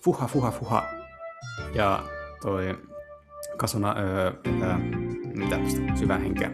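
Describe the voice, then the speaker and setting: A man translating game dialogue casually and haltingly, searching for words as he goes. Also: fairly low pitch, over loud music. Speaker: gaming video host and live translator.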